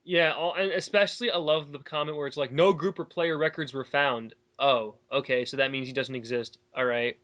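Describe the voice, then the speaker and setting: Bright-voiced, exasperated man commenting. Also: a little high, fairly even intonation, a little fast, hardly any pauses. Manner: exasperated, sarcastic, argumentative. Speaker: commentator reading and rebutting online comments. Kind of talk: casual mocking commentary.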